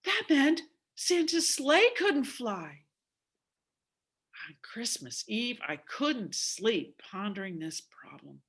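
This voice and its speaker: A woman in her sixties telling a story theatrically, with deliberate, dramatic emphasis. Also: a little high, sing-song, fairly bright tone, slightly rough. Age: 60s. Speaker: storyteller at a speaking club.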